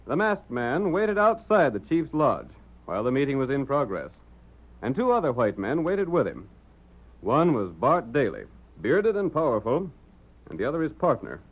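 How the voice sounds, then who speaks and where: A lo-fi 1930s radio recording of an American man narrating. His delivery is dramatic and very animated, in a somewhat high announcer's voice that proclaims each phrase. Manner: dramatic, declamatory.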